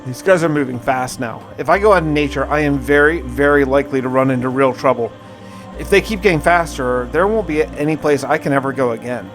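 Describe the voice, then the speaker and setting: A man's voice: mid-range voice, a little fast, fairly bright tone, soft background music. A man hosting a gaming video, narrating his play casually in the first person with wry, conversational musing.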